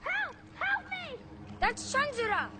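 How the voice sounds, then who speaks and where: A woman's voice: very high-pitched, sing-song, slow pace, heavy background noise, heavily acted. A woman voicing a frightened, anxious young boy pleading in a game drama. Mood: panicked. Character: frightened young boy, devoted to his father. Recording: echoing space under loud music.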